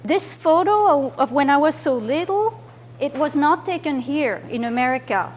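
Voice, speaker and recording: An older woman from France talks to children in simple, patient sentences, stopping often to check that they can follow along. Her voice sits high for a woman, and a faint hum of room noise stays underneath it. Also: expressive, moderate pace. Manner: simple, patient explaining to kids. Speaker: children's author. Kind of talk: library talk to children with slides.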